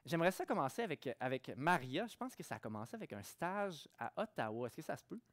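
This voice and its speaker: High-voiced Québécois man moderating a panel. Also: sing-song, quick pace, a bit bright. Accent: Québécois French. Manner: playful, joking asides with the audience. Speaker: forum moderator. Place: conference hall stage.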